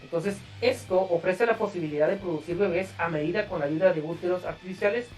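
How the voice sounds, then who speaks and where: A man's voice: high-pitched, fast, far from the mic, soft background music. A man with a Mexican accent hosting a podcast in a casual, slangy, profane way. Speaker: podcast host.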